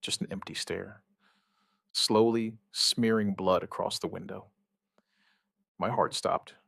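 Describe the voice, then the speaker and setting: An actor playing a shaken outbreak survivor, giving his account in halting, somber, dramatic narration with short deliberate phrases. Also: on the low side, fairly even intonation, gravelly, fairly bright tone, breathy edge, no echo, studio quality, full character voice. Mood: grim, haunted. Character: shaken male outbreak survivor recounting events, dryly stubborn. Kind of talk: dramatic first-person scripted narration, witness account.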